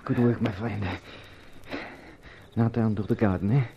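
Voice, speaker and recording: A man's voice: lowish voice, fairly even intonation, measured pace, gravelly. A shady, cautious foreign crook in a 1950s radio thriller, formal and clipped in his phrasing. The old recording is dull, with faint noise underneath. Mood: cautious, conspiratorial. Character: shady foreign male fraudster, cautious yet ruthless. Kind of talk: 1950s radio drama acting.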